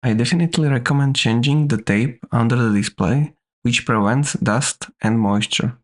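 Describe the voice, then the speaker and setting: A man's voice: medium pitch, moderate pace. Man narrating step-by-step repair instructions.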